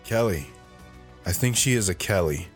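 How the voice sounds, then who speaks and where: Reflective wandering dad, low voice, earnest, sentimental audio drama storyteller, music underneath. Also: male voice, lively intonation, moderate pace. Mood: reflective, tender. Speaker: narrator.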